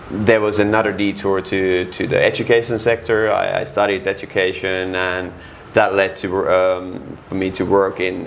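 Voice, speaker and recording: A man's voice: deep voice, gravelly, a little hiss or hum. A middle-aged Finnish man with a Finnish accent, speaking frankly as an interview guest and stopping often to restart his words. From Finland. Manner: frank, halting, many restarts and fillers. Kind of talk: casual interview.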